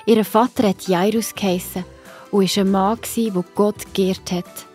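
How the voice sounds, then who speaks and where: A woman's voice: medium pitch, steady tone, a little slow, darkish tone. A woman with a Swiss German accent narrating a Bible story for children. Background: faint music.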